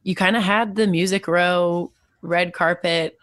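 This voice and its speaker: A woman's voice: on the low side, steady tone, measured pace, fairly bright tone, dry recording, studio quality. A young woman from Texas interviewing a fellow musician, asking thoughtful, probing questions. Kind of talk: conversational interview.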